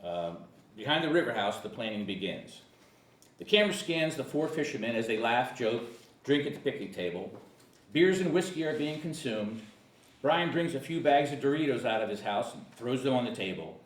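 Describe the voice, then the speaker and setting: A man's voice: medium pitch, animated, moderate pace, distant mic. A man narrating stage directions and character descriptions at a screenplay table read. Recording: echoey room, off-mic, faint hiss.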